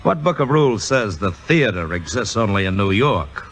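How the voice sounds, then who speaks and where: A passionate, teasing young theater man in vintage 1950s radio drama. His voice is low and sharp-edged, leaping widely in pitch with theatrical emphasis, over a faint music bed. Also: very animated, normal speed. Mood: fervent, lecturing. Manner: theatrical, emphatic, wry speechifying. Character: brash, teasing, passionate young theater director, Margo's lover.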